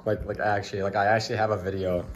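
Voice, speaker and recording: A man's voice: on the low side, little pitch movement, racing. Laid-back young man vlogging handheld. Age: young adult. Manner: laid-back, laughs often, trails off with fillers. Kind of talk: casual vlog narration. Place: outdoors on an abandoned site and in a house. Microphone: handheld camera at arm's length. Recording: noisy handheld camera audio.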